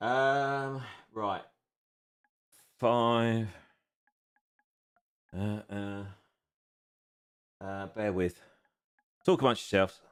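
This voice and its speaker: A man's voice: lowish voice, steady tone, extremely slow, long pauses, clear, resonant tone. A man in his fifties chatting casually on a live stream while seated at a home racing simulator, self-deprecating and chuckling often. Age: 50s.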